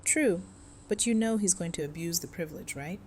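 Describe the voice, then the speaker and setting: A woman playing a supportive, level-headed friend in an audio drama, with a measured conversational delivery. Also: lowish voice, expressive, a little mellow, slight background noise. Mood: wry, questioning.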